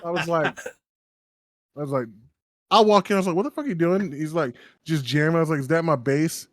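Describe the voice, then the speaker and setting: A man's voice: on the high side, moderate pace, no room sound, studio quality. A man co-hosting a podcast, rambling through stories with lots of fillers and swearing. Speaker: podcast co-host. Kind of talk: casual chat.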